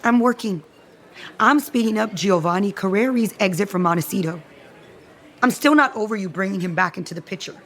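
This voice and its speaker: An actress playing a self-assured, scheming woman who feigns loyalty, in an audio soap opera with a haughty, smooth delivery. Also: mid-range voice, a little fast, lightly acted. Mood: indignant. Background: music under the dialogue.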